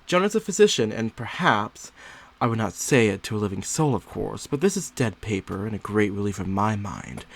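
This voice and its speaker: A man voicing an anxious young Victorian wife confiding in her diary, in an expressive, dramatic audiobook reading with drawn-out words and pauses. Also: medium pitch, lightly acted. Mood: confiding, resigned.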